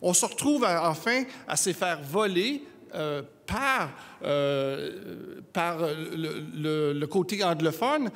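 A middle-aged man rises from the audience to speak at a standing microphone, earnest and halting, full of filler pauses. His voice sits a little higher than most men's. It carries the long reverb of a big hall. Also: animated, a bit bright. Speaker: audience member asking a question. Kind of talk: audience question at a debate. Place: large studio hall with audience.

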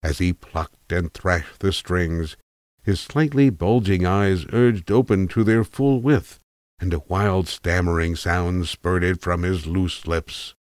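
Guy narrating a story, really deep voice, wry n precise, super dry sound. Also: slow, dry recording. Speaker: narrator, voice actor.

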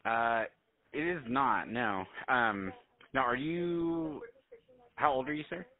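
A man speaking as a doctor on a phone call, polite, halting and hesitant. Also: medium pitch, animated, dry recording. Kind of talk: phone consultation. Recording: tinny phone line.

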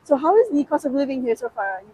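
A woman street interviewer with a high, mellow voice who talks quickly. She asks brisk, short questions. She is outdoors on a street, with faint ambient sound behind her. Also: big pitch swings, slight background noise, poor audio. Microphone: holding a handheld mic toward others.

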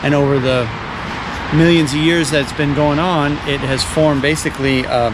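A man's voice: on the high side, steady tone, normal speed, noisy background. Chatty middle-aged man vlogging outdoors. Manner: easygoing, explains with fillers and restarts. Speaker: travel vlogger. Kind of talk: casual travel vlog narration. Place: outdoors by a forest trail and parking lot. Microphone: camera on a handheld gimbal.

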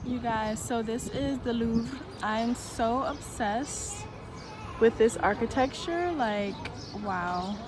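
A young woman tells her camera about her travels, chatty and excited, with frequent ums and likes. Her voice sits a little high. Music plays clearly behind her. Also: slow. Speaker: travel vlogger. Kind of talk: casual travel vlog to camera. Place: indoors in a hotel room and a large mall, phone held close. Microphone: handheld camera at arm's length.

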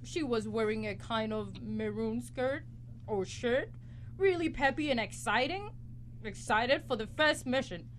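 A woman's voice: on the high side, a little slow, slight background noise, natural voice. A young woman playing an exasperated, mock-heroic space officer in a live student radio comedy, with theatrical bluster. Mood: flustered. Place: college radio studio, live broadcast.

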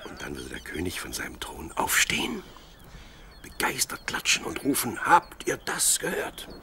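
Young shepherd boy, dreamy and plucky, very animated radio play delivery, sheep and birdsong behind. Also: on the low side, normal speed, full character voice. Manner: expressive, musing aloud to himself and animals. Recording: clear outdoor animal noise behind. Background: sheep, birds, outdoor ambience.

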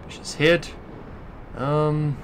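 A man's voice: fairly high pitch, steady tone, drawn-out words, a little hiss or hum. A man giving casual, crude, sweary gameplay commentary, musing aloud as he goes. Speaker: let's play commentator.

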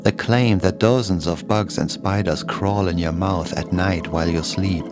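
A man's voice: low voice, normal speed, music in the background. A man narrating a scripted first-person horror story as a podcast host.

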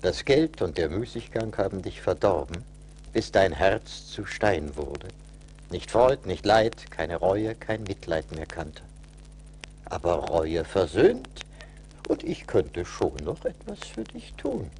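An actor playing a stern but forgiving little forest spirit, solemn and admonishing, in a radio play. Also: male voice, sits low, big pitch swings, takes it slowly, darkish tone, slight background noise, heavily acted, rough recording. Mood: solemn reproach. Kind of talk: theatrical radio play acting.

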